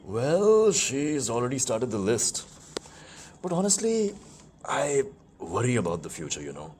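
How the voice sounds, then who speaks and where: A man's voice: medium pitch, huge pitch swings, unhurried, darkish tone, slight background noise. A man playing a kindly, fatherly older office worker nearing retirement in a radio play. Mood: wistful, chuckling. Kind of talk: amateur radio drama acting.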